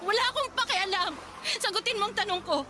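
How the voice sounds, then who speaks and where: A woman's voice: very high voice, quick pace. A woman acting a hurt, indignant, pregnant young lover in an emotional Tagalog radio drama. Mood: pleading, upset. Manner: emotional, pleading. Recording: clearly noisy background ambience.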